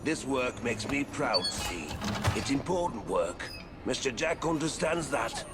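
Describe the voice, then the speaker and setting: A man's voice: medium pitch, sing-song, a little slow. An actor playing a sadistic, coarse British working-class hangman who is proud of his grisly work, in a video game. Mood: gloating. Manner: coarse, gloating. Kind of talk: video game cutscene acting.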